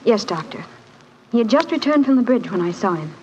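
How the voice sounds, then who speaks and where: An actress playing a distressed, earnest young governess, in 1940s radio drama style. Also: female voice, fairly high pitch, very animated, a little fast, a little mellow. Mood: anxious, earnest. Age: young adult. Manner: refined, earnest, anxious. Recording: muffled, noisy 1940s radio recording.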